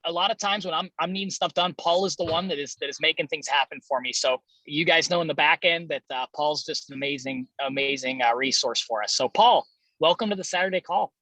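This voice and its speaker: Bright-voiced man hosting a casual call. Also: a little high, a little fast, no echo, poor audio. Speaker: host of a training call. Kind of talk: casual interview and introduction.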